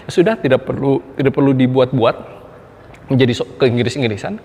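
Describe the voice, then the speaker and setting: A man's voice: medium pitch, a little mellow. An Indonesian man with an Indonesian accent teaching public speaking in an educational video lecture. He speaks animatedly, stresses key words, and addresses viewers directly. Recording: long reverb with faint background noise.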